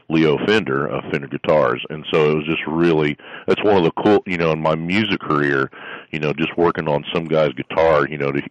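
A middle-aged man from South Florida with a very deep bass voice, telling stories in a casual interview with lots of fillers and restarts. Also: no room sound, highs cut off. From South Florida, USA. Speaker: guest, strength coach and musician.

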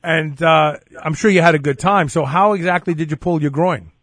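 A man with a clearly high-pitched voice hosts a casual interview. He is informal and enthusiastic, and his voice is recorded dry. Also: moderate pace, a bit bright, dry recording. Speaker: interview host. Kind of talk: casual chat and questioning.

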